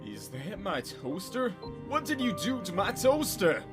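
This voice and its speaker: A man's voice: sits high, huge pitch swings, measured pace, music in the background, exaggerated voice. A man acting an exasperated, sarcastic reluctant ally in an audio drama, scolding as he talks. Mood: annoyed.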